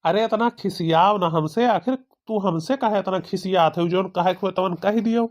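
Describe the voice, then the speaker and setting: A man's voice: high-pitched, moderate pace, natural voice. A man voicing a busy village man in an amateur cartoon comedy, plainspoken and repetitive, with an Awadhi accent. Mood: coaxing, curious. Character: busy village man, coaxing then irritated on the phone. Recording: dull, muffled lo-fi sound.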